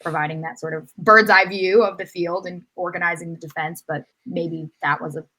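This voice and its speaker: A woman on a podcast panel offering casual sports analysis in a measured, reflective way. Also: lowish voice, fairly even intonation, bright, forceful tone, poor audio.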